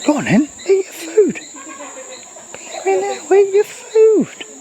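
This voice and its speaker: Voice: very high-pitched, sing-song, slow pace, dark tone, voice pushed up, full character voice. A person voicing a gushing pet owner cooing at a dog in sing-song, doting, repetitive praise.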